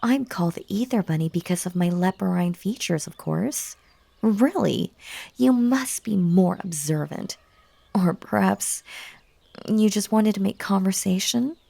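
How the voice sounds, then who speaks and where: A woman playing a clingy, unhinged magical bunny woman in a roleplay audio drama, coaxing and gushing, breathy and dropping into whispers, with stammers and stern turns. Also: medium pitch, lively intonation, measured pace, mellow, no room sound, full character voice. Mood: sweet, coy.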